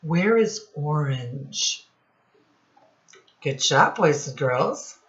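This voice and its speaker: Very slow-paced woman teaching little children. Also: low-pitched, animated, echoey room. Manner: simple questions with deliberate repetition. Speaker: children's teacher and read-aloud host. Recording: dull, lo-fi sound.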